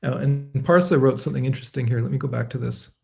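A man in his thirties, a composer, answering viewer questions on a livestream from his home study in a measured, reflective way, pausing and correcting himself as he thinks. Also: medium pitch, creaky, darkish tone. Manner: thoughtful, measured, with frequent fillers and self-corrections. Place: home study lined with bookshelves. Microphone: webcam framing at desk, livestream.

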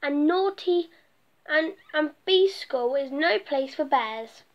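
A woman's voice: high voice, animated, takes it slowly. A woman voicing a stern but fair queen bee who speaks firmly and properly in a storybook reading. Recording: dull lo-fi recording.

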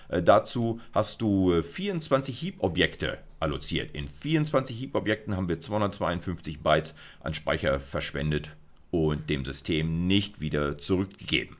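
A middle-aged man giving an informal video lecture, explaining conversationally with colloquial asides. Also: sits low. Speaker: university lecturer. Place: indoors at a computer, recorded lecture.

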